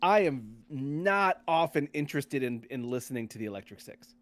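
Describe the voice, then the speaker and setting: A man hosting a podcast in casual chat, often repeating and rephrasing himself in a halting way. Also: mid-range voice, lively intonation. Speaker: podcast host.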